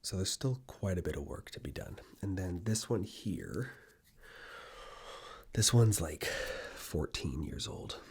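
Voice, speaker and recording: A man with a deep, gravelly voice speaks very breathily in a hushed ASMR style. He rambles softly to the viewer, often slipping into a whisper. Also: lively intonation, takes it slowly. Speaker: ASMR video creator and host. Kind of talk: ASMR, soft-spoken personal ramble to camera.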